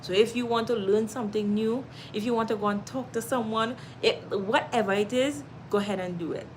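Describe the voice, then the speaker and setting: A woman's voice: fairly high pitch, a little fast, slight background noise, low-quality recording. A Trinidadian woman hosting a casual livestream at home, reading viewer comments, laughing often and giving encouragement. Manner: warm-hearted, encouraging, laughs often, reads comments aloud. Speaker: live stream host.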